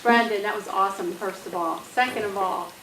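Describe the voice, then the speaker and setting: A woman's voice: mid-range voice, a little fast. A woman in an audience asking questions informally. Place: in the audience, indoors. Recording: echoey, distant, noisy room recording.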